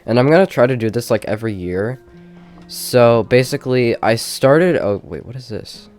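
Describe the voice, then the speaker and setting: A man's voice: mid-range voice, expressive, moderate pace, darkish tone, soft background music. A young man with a stuffy, congested voice, rambling casually through a tour of his game world with plenty of fillers. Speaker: gaming channel host. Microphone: desk mic with face cam.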